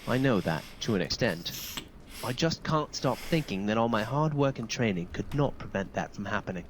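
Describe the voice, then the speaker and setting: An actor playing an earnest, courteous young knight in a fantasy video game, speaking formally and sincerely. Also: male voice, on the low side, expressive, moderate pace, slight background noise, lightly acted, poor audio. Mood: regretful. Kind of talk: video game dialogue acting.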